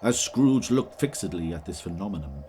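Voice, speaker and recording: Low-pitched male narrator, theatrical storybook delivery, measured phrasing, clear ambient noise behind the voice. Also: very animated, warm tone. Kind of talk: dramatic scripted storybook narration. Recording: noticeable background ambience noise.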